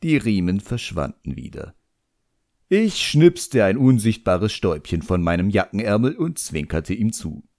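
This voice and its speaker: Male, audiobook narration, deep register, wry theatrical delivery, close dry recording. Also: big pitch swings, a little slow, a little mellow, dry recording. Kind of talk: expressive scripted first-person narration.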